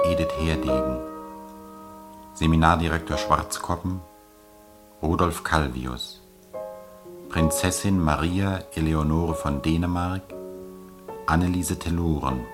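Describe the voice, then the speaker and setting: A man formally announcing a radio drama and reading its cast in a measured, solemn manner. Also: extremely low pitch, over music.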